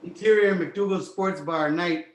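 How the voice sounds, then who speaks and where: A man's voice: sits high, unhurried. A man reading scripted screenplay stage directions as narrator, in an echoey room. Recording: roomy, echoey sound.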